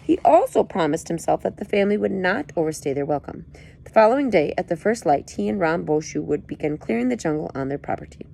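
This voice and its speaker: Reader reading a book chapter aloud, lively up-and-down melody, playful asides. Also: female voice, on the low side, fast talker, creaky, poor audio.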